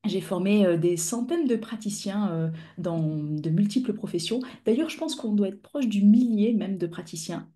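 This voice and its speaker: Mellow-voiced woman hosting a live webinar. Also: mid-range voice. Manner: measured, explanatory, with frequent hesitations. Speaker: physiotherapist and pain-education instructor, webinar host. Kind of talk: live webinar talk to clinicians.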